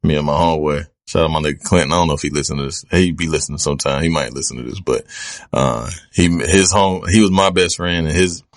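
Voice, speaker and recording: A man's voice: rumbling low, fast talker, dry recording, clean studio sound. A man in his thirties co-hosting a podcast, chatting casually and telling profane stories in a laid-back way. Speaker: podcast co-host. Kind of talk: casual chat.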